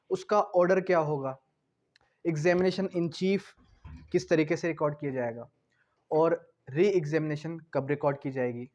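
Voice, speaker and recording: A man's voice: fairly high pitch, a little mellow. A man with a Pakistani accent teaching law in a didactic lecture style, repeating key terms and posing questions that he then answers. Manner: didactic, repetitive, asking and answering own questions. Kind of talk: explanatory lecture mixing Hindi-Urdu with English legal terms.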